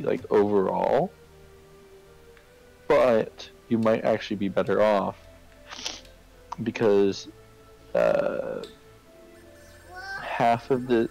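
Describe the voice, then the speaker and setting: Man, game streamer, low creaky voice, casual halting chat, repeated words, faint game music underneath. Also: darkish tone, poor audio. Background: video game music.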